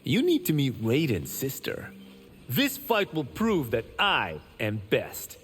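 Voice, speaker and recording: An actor voicing a boastful, vain young monk in a video game. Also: male voice, medium pitch, huge pitch swings, slow pace, a little hiss or hum. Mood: cocky.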